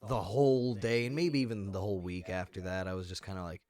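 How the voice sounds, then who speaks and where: A man's voice: deep voice, steady tone, fast talker, darkish tone, no room sound, clean studio sound. A man in his thirties joking about himself in a casual podcast chat. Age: 30s. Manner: joking, self-mocking. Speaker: podcast co-host.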